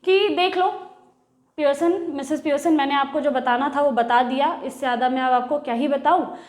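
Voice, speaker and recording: Lady teacher explaining stuff in hindi with english bits, bit high voice, echoey room. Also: normal speed. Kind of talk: bilingual classroom lecture.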